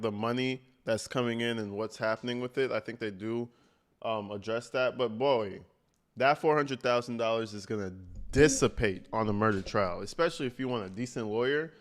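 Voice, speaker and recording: A man's voice: medium pitch, moderate pace. A man hosting a reaction stream, giving casual, opinionated commentary in a blunt, profane, conversational way. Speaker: host of a reaction livestream.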